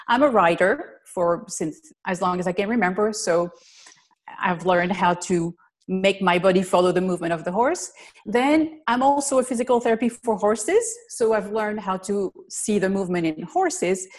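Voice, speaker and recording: Woman presenting a webinar. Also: medium pitch. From Belgium. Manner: earnest, explanatory, lists things step by step. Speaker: physical therapist and riding instructor presenting a webinar. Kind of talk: online presentation. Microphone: video call.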